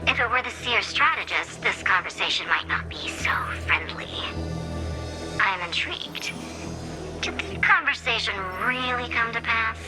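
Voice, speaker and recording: A woman's voice: on the high side, expressive, unhurried, over music, rough recording. A woman voicing a playful, knowing seer strategist in a video game, with a polite, teasing and theatrical delivery.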